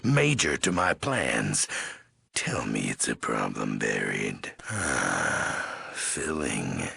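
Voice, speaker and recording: An actor voicing a menacing, weary ghoul overlord in a video game, raspy and gravelly, speaking in terse, commanding threats with odd broken syntax. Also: male voice, extremely low pitch, very animated, drawn-out words, bright, forceful tone, dry recording, full character voice. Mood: cold menace. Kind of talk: video game character voice acting.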